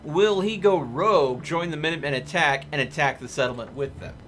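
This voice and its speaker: A man's voice: a little high, fairly quick, a bit bright, a little hiss or hum. A man streaming a video game, giving casual commentary and rambling as he thinks aloud. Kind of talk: casual live gameplay commentary. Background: game music.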